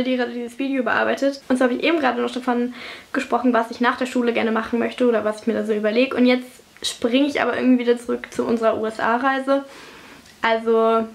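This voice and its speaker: A woman's voice: a little high, echoey room, a little hiss or hum. A young woman vlogging from her bedroom, chatting casually and rambling with lots of fillers while she bakes. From Germany. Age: young adult. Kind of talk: casual chat while baking. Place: bedroom at home, indoors.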